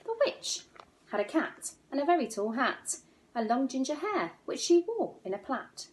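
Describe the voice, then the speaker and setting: A woman narrating a rhyming children's storybook in an expressive, dramatic way, like a primary teacher reading aloud. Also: high voice, normal speed.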